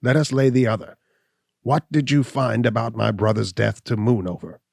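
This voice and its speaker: A man voicing a snarling, defiant hunchback who shouts and sneers in a raised, strained voice. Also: medium pitch, fairly even intonation, normal speed, vocal fry, no room sound, clean studio sound.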